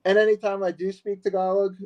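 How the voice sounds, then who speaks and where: A man's voice: sits high, flat delivery, brisk, darkish tone, lo-fi sound. A man, a martial arts instructor, chatting as a podcast guest in a hesitant, easygoing way, often laughing. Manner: hesitant, many fillers and restarts, laughs easily. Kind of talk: casual podcast conversation.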